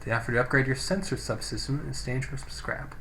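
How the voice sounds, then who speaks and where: A man's voice: medium pitch, fairly even intonation, fast, bright, forceful tone, slight background noise. A man giving casual let's play commentary, thinking aloud and reading game text, on a poor-quality microphone. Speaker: gaming let's play host. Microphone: low-quality microphone.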